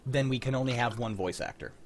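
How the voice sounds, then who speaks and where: A man hosting a casual group chat over a video call, speaking in an excitable, opinionated, freely swearing way. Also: medium pitch, quick pace, a crowd in the background. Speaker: host of a radio drama livestream.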